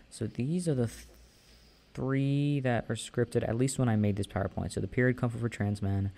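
A young man answering listener questions in a casual, soft-spoken, hesitant way, with many fillers and restarts. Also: fairly low pitch, vocal fry. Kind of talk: casual Q&A chat.

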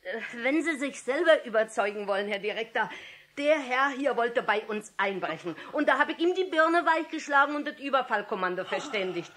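An actress playing a plain-spoken, unflappable, folksy cook in a 1960s German radio play. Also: female voice, fairly high pitch, expressive, brisk, fairly bright tone. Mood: proud, chatty. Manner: slangy, folksy, hesitant storytelling. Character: plain-spoken, hearty, plump household cook, proud and unflappable.

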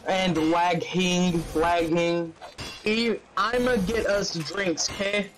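A man doing a mocking dramatic reading of fan fiction, halting and stumbling over words, with casual sweary asides. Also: high-pitched, fairly even intonation, noisy background.

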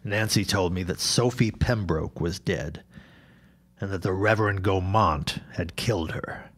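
An actor playing an earnest, troubled forty-year-old newspaperman and widowed father in a period audio drama, speaking haltingly. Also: deep voice, fairly bright tone. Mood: shaken, troubled.

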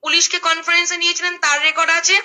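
A woman's voice: extremely high pitch, level tone, fast talker, bright, forceful tone. A middle-aged woman explains at length on a phone call, emphatically checking that she is understood. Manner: emphatic, repeatedly seeking confirmation. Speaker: social media creator.

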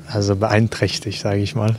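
A man's voice: lowish voice, little pitch movement, normal speed. A man in his thirties speaking earnestly and a little hesitantly.

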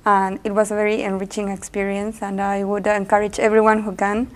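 A young woman from Argentina, giving a sincere student testimonial at a measured pace. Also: medium pitch, completely flat delivery. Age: young adult. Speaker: master's student. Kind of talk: testimonial interview.

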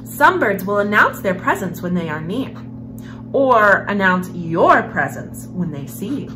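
A young woman hosting a children's story time, reading a picture book aloud in an expressive storyteller's manner. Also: medium pitch, unhurried, background noise. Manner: expressive, animated storyteller, with hushed passages. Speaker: host of a children's read-aloud series. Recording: music behind the voice. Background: background music.

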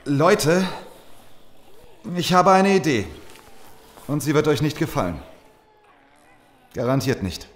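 A man's voice: fairly high pitch, big pitch swings, slow, pauses often. An actor playing a determined, distrustful survivor who plans for his group in an audio drama. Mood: urgent, planning. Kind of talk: German audio drama acting.

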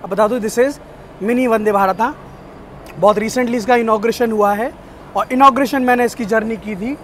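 A young man with a fairly high voice, talking eagerly and straight to his viewers. He films himself on a phone held at arm's length. Faint railway noise sits in the background. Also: normal speed. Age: young adult. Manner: excited, chatty, addresses viewers directly. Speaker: train travel vlogger. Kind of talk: casual travel vlog. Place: train carriage and outdoor station platform.

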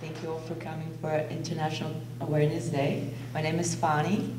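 A woman's voice: deep voice, flat delivery, fairly quick. A young woman with a Bulgarian accent, reading a prepared student speech at a podium in an auditorium. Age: young adult. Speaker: student speaker. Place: indoor auditorium stage. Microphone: podium microphone. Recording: roomy hall, distant podium mic, faint hum.

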